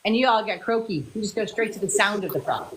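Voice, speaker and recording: A woman's voice: mid-range voice, lively intonation, fast, a bit bright, slight background noise, rough recording. A woman hosting a casual live stream, greeting viewers by name and chatting with her guests. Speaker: host.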